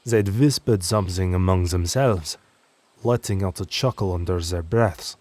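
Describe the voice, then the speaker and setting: A man's voice: deep voice, normal speed, a little mellow. A man reading a scripted first-person horror story as narrator.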